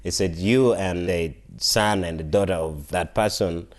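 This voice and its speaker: Podcast guest: man, 30s, from northern Uganda, low voice, soft-spoken and plain. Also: measured pace. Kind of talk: casual interview chat.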